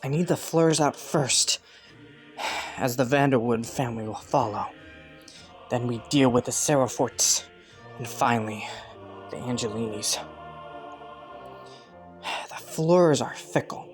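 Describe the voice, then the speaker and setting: A man playing an ambitious young prince in a romantic fantasy audio roleplay, with formal, courtly phrasing and soft hesitations, scheming yet earnest. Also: mid-range voice, unhurried, pauses often, soft background music, lightly acted. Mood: calculating.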